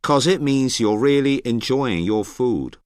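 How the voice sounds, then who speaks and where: A man giving a clearly spoken scripted monologue for a language-course listening exercise. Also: mid-range voice, expressive, unhurried, darkish tone, dry recording, clean studio sound, lightly acted. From Hong Kong.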